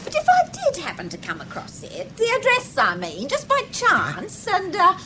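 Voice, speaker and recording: A woman's voice: very high voice, wildly swooping pitch, moderate pace, heavy background noise, heavily acted. A woman playing an evasive, genteel English landlady in a British radio drama, sing-song and calling everyone dear. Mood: politely evasive.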